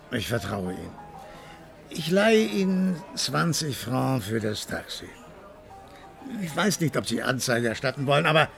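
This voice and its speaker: A man's voice: mid-range voice, gravelly, fairly bright tone, heavily acted. An actor in a German radio play voicing a worldly, helpful man with a direct, knowing way of talking. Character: worldly, helpful man, familiar with local pickpockets.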